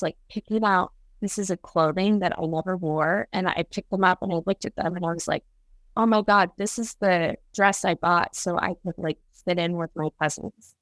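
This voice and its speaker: A woman with a slightly low voice hosts a podcast in a loose, conversational way. She fills her sentences with "like" and swears casually. The sound is dry and close. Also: a bit bright, no echo. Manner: chatty, peppered with "like", swears casually. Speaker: podcast host.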